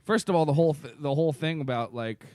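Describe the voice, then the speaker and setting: A man's voice: a little high, fast talker, a little mellow, dry recording. Casual, sweary man, dry close sound. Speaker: podcast co-host. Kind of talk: casual film discussion among friends.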